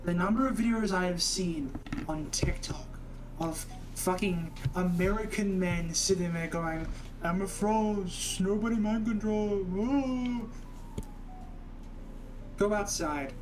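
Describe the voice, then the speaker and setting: A woman's voice: mid-range voice, slow pace, music in the background, low-quality recording. A woman giving casual, opinionated commentary in a blunt, scornful, sweary manner.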